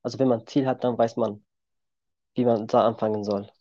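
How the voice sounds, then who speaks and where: Man in his twenties, mellow voice, dull lo-fi recording. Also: mid-range voice, fairly even intonation, fairly quick, no echo. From Syria. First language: Kurdish. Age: 20s. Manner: plain, simple sentences. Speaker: guest. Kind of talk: podcast interview.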